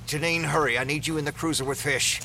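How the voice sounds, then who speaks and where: An actor playing an earnest, protective small-town sheriff in an audio drama, speaking urgently and stammering under stress. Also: male voice, fairly high pitch, steady tone, fast talker, vocal fry, sharp tone, slight background noise. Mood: tense.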